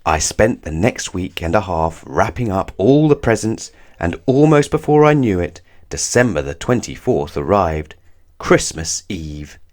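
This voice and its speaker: A man reading a children's story aloud in an expressive, deliberate way, drawing out words for emphasis. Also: low-pitched. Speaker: storybook reader. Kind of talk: scripted children's story narration read aloud.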